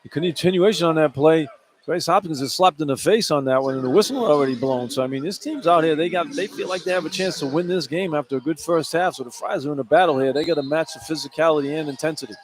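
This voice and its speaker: A man's voice: on the high side, fast talker. A man giving conversational, emphatic and colloquial basketball analysis as a radio color commentator. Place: indoor sports arena. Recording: live arena broadcast with background noise.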